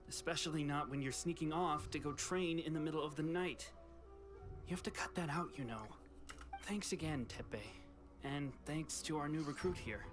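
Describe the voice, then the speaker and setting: A man playing a sensible wounded young soldier in an anime game, speaking in a measured, level-headed way. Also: fairly high pitch, close to an everyday voice.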